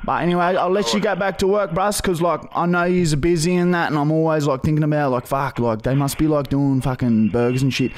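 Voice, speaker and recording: A man's voice: on the high side, steady tone, fairly quick, hardly any pauses, natural voice. A young Australian man playing a pushy, dim, matey bogan begging for work on a prank call, rambling and swearing heavily in slang. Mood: cocky, cheerful. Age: young adult. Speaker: prank caller and podcast host. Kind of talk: improvised prank phone call. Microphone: boom-arm studio microphone, phone held to face.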